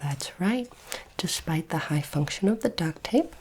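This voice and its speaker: Casting: woman playing polite alien examiner of human items, low soft whisper, formal and curious, ASMR roleplay, faint background noise. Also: animated. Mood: formal, approving. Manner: slow, deliberate, formal phrasing.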